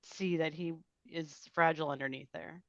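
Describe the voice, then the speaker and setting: A woman's voice: sits low, steady tone, normal speed, a bit bright, no room sound. A woman chatting casually in a group discussion, on a dull, lo-fi microphone.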